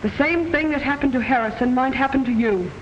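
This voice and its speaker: A woman's voice: high-pitched, a little fast, slight background noise, close to an everyday voice. An actress playing an ominous fortune-telling woman who warns of death, in 1940s radio drama style. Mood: foreboding. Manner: grave, foreboding. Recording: dull old radio recording.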